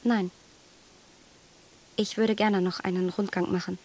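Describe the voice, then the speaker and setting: A woman's voice: mid-range voice, little pitch movement, unhurried, darkish tone, lightly acted. An actress playing a well-bred, impulsive young English lady in a German radio play, polite on the surface and privately flustered. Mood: apologetic, sincere. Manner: polite, breathy asides to herself.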